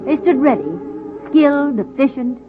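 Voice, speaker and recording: High, firm radio nurse. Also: female voice, expressive, moderate pace. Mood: proud. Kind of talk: 1940s radio acting. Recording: tinny old radio recording, music behind.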